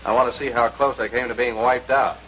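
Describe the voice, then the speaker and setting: A man's voice: medium pitch, fairly even intonation, brisk, a bit bright, lightly acted. An American actor playing a rueful, humble young airman who talks colloquially in a 1940s military training film. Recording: faintly noisy 1940s film soundtrack.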